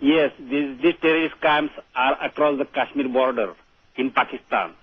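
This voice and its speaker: A man with an Indian accent calling a phone-in, making an earnest, halting political comment. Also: medium pitch, breathy edge, no echo. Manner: halting, repeats phrases. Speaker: phone-in caller. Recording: dull telephone line on broadcast.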